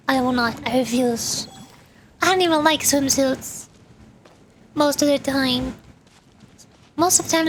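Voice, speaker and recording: A woman chatting casually and playfully on a gaming livestream, with animated delivery. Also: sits high, a little hiss or hum. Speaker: VTuber streamer, host. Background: background music.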